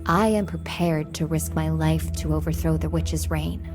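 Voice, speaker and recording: A woman's voice: deep voice, steady tone, a little slow, over music. An actress playing a determined female rebel in a video game cutscene. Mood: resolute. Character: determined female rebel willing to risk her life.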